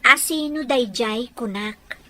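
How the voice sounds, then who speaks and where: A woman's voice: on the high side, slow pace, sharp tone, a little hiss or hum. A woman playing an earnest, persistent young girlfriend in an audio drama, insistent in how she speaks. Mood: suspicious, insistent. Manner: insistent, probing.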